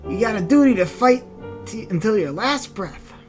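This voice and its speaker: A woman voicing a small, fierce old grandmother who scolds in a rough country drawl, over faint music. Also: medium pitch, lively intonation, normal speed, voice pushed up, lightly acted. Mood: stern.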